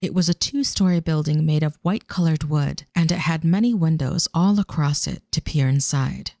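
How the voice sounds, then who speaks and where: A woman's voice: sits low, moderate pace, mellow, no echo, studio quality. A woman narrating a scripted audio drama as a storyteller.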